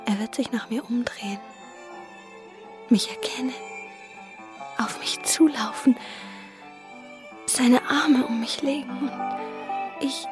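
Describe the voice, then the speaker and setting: An actress playing a young woman in a radio play who waits obsessively for her lover, breathy, yearning and defiant, repeating her phrases. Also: fairly high pitch. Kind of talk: intimate radio play monologue.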